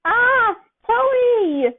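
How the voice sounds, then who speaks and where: A woman's voice: extremely high pitch, sing-song, pitched above the performer's own voice, exaggerated voice. A woman voicing a sweet, childlike teenage boy who is drowsy and drugged, in a small voice. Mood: frightened. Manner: drowsy, drawn-out mumbling. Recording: thin phone-like sound.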